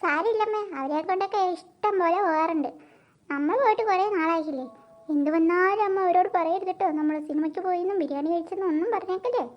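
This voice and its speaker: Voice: extremely high pitch, fast talker, lo-fi sound. A performer voicing a sweet-talking, secretive mother in a cartoon comedy, coaxing and reassuring.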